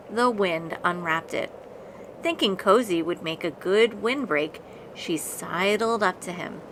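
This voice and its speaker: A woman reads a picture book aloud with dramatic, deliberate phrasing. Her voice is bright and forward, and she takes her time over the words. There is some background noise on the recording. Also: medium pitch, poor audio. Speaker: storybook reader. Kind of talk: expressive read-aloud of a children's picture book.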